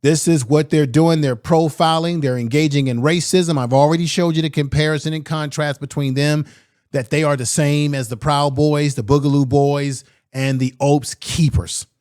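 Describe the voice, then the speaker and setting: A man reacts to clips with blunt, fiery commentary and plenty of slang. His voice sits slightly high, and the sound is dry and close with no room echo. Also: steady tone, moderate pace, a little mellow. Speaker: political commentary host.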